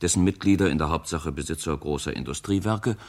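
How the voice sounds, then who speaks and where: A man narrating an old radio crime play in a deliberate, formal storytelling manner. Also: very deep voice, a little mellow. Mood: measured. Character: measured male narrator setting a crime scene. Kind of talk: scripted radio drama narration, mid-century German. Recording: vintage radio recording with noise, clicks.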